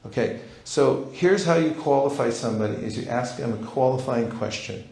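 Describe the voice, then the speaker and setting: A man of middle pitch teaching a seminar in a roomy hall, his voice ringing around the space. He is playful and acts out little exchanges, switching into quick voices for the people in them. The tone is lively and friendly. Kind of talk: live seminar talk. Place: large hall.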